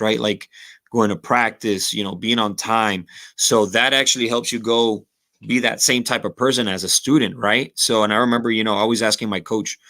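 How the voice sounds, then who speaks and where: A man's voice: fairly low pitch, pushed and bright. A man in his thirties with a Rio Grande Valley accent, talking reflectively as a podcast guest with lots of fillers and Spanish phrases mixed in. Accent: Texas Rio Grande Valley. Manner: reflective, many "you know" fillers, slips into Spanish phrases. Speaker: podcast guest, former college football kicker. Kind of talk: casual podcast conversation.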